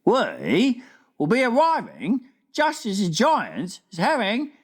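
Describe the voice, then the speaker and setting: A woman voicing a big, gentle, friendly male giant who talks in drawn-out, folksy, mangled grammar. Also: mid-range voice, huge pitch swings, husky, voice pushed up, heavily acted. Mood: earnest, reassuring.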